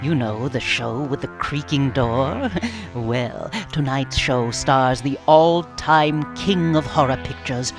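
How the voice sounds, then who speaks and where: A woman's voice: extremely low pitch, takes it slowly. A woman playing a playful, campy horror hostess, theatrical and teasing in a scripted radio wraparound. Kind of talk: scripted comic wraparound hosting. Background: music.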